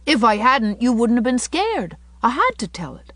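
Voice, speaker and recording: A woman's voice: a little high, big pitch swings, normal speed, fairly bright tone, no room sound, pitched above the performer's own voice. A woman voicing a mischievous but earnest little boy from a storybook, talking in frank, childish colloquial speech. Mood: frank, puzzled. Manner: childish colloquial talk, dropped syllables.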